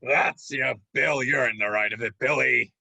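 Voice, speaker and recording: A man playing a menacing pirate who feigns friendliness, with a raspy voice and wheedling sailor dialect in a radio play. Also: medium pitch, moderate pace, bright, forceful tone, no echo, full character voice. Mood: falsely genial, bossy. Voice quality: raspy, gravelly. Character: menacing pirate feigning friendly warmth, sly old shipmate.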